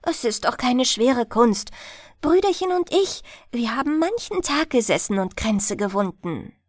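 A woman storyteller plays an innocent little girl from a fairy tale, trusting and helpful. The voice is high, with lively swings in pitch and an artless, childlike way of talking. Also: a little slow, dry recording, studio quality. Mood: cheerful. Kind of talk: bedtime fairy-tale reading.

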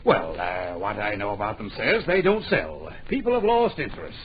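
An actor in a radio drama playing an absent-minded, blustering book publisher who mutters, dithers and repeats himself. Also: male voice, fairly low pitch, big pitch swings, normal speed. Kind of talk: old radio drama acting. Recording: dull, hissy old radio recording.

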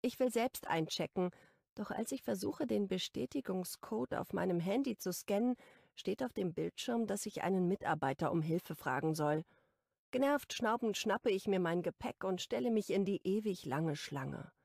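A woman narrating a romance audiobook in the first person with calm, even storytelling. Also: medium pitch, moderate pace, creaky, slightly rough, no echo. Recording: dry close studio recording.

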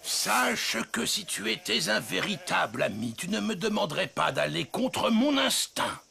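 An actor voicing a loyal, troubled old sheepdog in a French cartoon, earnest and plaintive with a gravelly voice. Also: male voice, high voice, very animated, a little slow, bright, forceful tone, heavily acted. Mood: firm. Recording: music under the voice.

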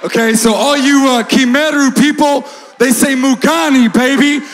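A man's voice: very high voice, steady tone, slight background noise. An American man performing live comedy on a stage microphone, showy and calling out to the crowd. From United States, California. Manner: showy, drawn-out, shouting to the crowd. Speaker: guest performer. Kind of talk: live stage comedy with sung blues bits. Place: large night-time open-air stage show. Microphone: handheld microphone. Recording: live stage PA, music behind.

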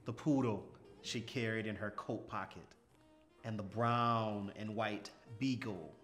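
A middle-aged man reading a children's storybook aloud with expressive, measured, dramatic phrasing and pauses. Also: medium pitch. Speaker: storybook reader. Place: small furnished indoor room, seated. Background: music.

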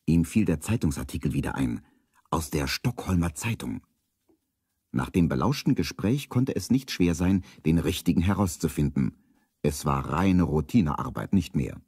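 A man narrating a children's detective radio play as a calm storyteller. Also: extremely low pitch, moderate pace, breathy edge, dry recording, clean studio sound, heavily acted. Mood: calm, measured. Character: calm adult male storyteller of a detective tale.

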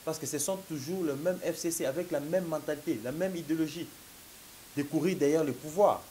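A man's voice: on the high side, brisk, dark tone. Man, 30s, Congolese French accent, slightly stuffy from a cold, emphatic political analyst, lapel mic in a TV studio, faint hiss. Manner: emphatic, gesturing, repeats "mon cher ami". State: has a cold. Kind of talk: political talk show debate.

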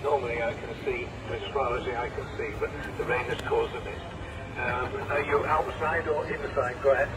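A man's voice: mid-range voice, little pitch movement, moderate pace. Older man over a distant, very noisy radio. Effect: heard through a radio receiver. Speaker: amateur radio operator. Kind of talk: casual amateur radio chat.

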